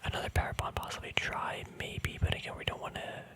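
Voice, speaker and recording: A man speaking in a soft, breathy whisper in a casual, relaxed ASMR style, thinking aloud close to the microphone. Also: quick pace. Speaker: ASMR video creator. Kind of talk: whispered ASMR, casual rambling card showcase.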